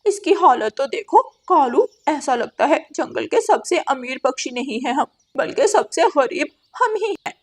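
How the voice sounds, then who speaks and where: Woman acting exasperated crow wife, high voice, nagging, cartoon complaint. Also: big pitch swings, unhurried, few pauses. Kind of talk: Hindi cartoon story dialogue.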